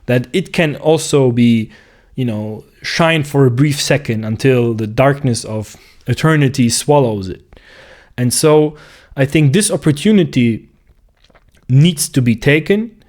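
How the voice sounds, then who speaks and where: A man, a clinical psychologist talking in a slow, reflective monologue and searching for words as he goes. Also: medium pitch, a little mellow. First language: German. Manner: thoughtful, searching for words with restarts.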